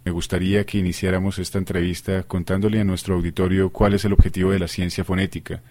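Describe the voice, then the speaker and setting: A man hosting a radio program, with a very low voice and a quick pace. He reads formal introductions and questions in a steady, composed way. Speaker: radio interviewer, host. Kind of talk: scripted radio interview questions.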